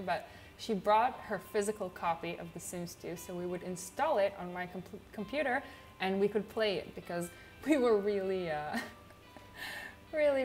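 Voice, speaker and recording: A young woman from Israel with an Israeli accent, chatting casually on a livestream in a chill, low-energy way with lots of fillers. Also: a little mellow. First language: not English. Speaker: streamer.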